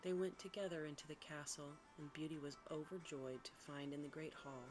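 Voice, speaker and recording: A woman narrating a bedtime story in ASMR style, softly and often slipping into a whisper. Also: low-pitched, fairly even intonation, fairly quick, music in the background, poor audio.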